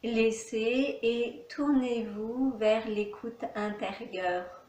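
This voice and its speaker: A woman teaching yoga nidra, giving calm, soothing guided relaxation instructions with long pauses in an echoey room. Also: fairly high pitch.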